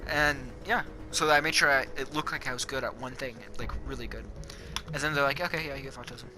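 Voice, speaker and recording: A young man chatting casually while gaming, telling stories in a halting, rambling, sweary way. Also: medium pitch. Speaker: player chatting in a multiplayer game. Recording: music behind the voice. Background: music.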